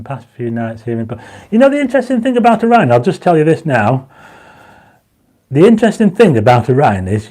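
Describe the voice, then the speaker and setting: A man hosting a casual livestream, reading out viewer comments and pushing for likes, his mouth dry and his voice going croaky. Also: mid-range voice, mellow. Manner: reads viewer comments aloud, urges likes; enthusiastic when explaining stars. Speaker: livestream host. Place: home astronomy studio.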